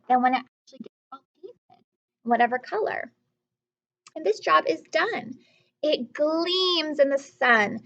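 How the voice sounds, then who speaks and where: Slow-paced, high-voiced woman teacher. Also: highs cut off. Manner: instructive, asks rhetorical questions, repeats key words. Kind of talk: read-aloud lesson for young children, with explanations.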